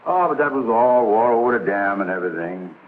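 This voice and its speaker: Casting: male actor as a gullible middle-aged sitcom sweetheart, folksy, lively pitch swings, thin old soundtrack. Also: close to an everyday voice. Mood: nostalgic. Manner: folksy, ungrammatical, drawn-out musing. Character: lovestruck, gullible middle-aged bachelor reminiscing about old romances. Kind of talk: 1950s American sitcom acting. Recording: tinny, noisy vintage television soundtrack.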